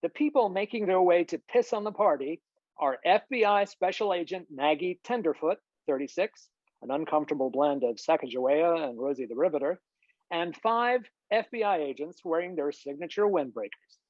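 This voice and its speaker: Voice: medium pitch, animated, moderate pace, a little mellow, dry recording. A narrator reading scripted stage directions at a table read over a video call. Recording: muffled, dull video-call audio.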